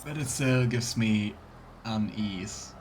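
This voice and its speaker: A man's voice: lowish voice, takes it slowly, a little mellow, a little hiss or hum. A man giving casual let's play commentary, thinking aloud and murmuring to himself as he plays. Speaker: streamer playing a fantasy role-playing game. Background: game music.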